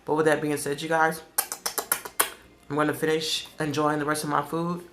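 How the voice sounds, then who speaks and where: A man's voice: a little high, level tone, moderate pace, background noise. A man with a stuffy nose giving a blunt, scolding commentary while eating on a food show. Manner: blunt, scolding, repeats points. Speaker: food vlogger.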